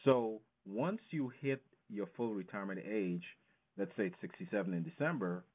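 Male expert guest, low register, emphatic explainer with rhetorical questions, phone-quality audio. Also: lively intonation, moderate pace. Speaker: financial educator, interview guest. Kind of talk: explanatory radio interview talk. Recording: narrow, tinny phone-line sound.

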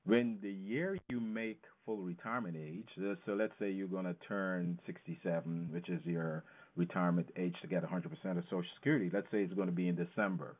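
Male expert guest, low register, emphatic explainer with rhetorical questions, phone-quality audio. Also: steady tone, brisk, hardly any pauses. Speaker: financial educator, interview guest. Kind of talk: explanatory radio interview talk. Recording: narrow, tinny phone-line sound.

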